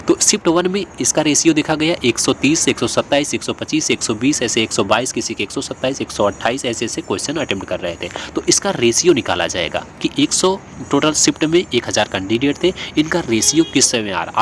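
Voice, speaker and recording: A man's voice: medium pitch, a bit bright, slight background noise. A man gives an informal explainer lesson, going step by step and repeating himself as he works through examples. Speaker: exam coaching presenter.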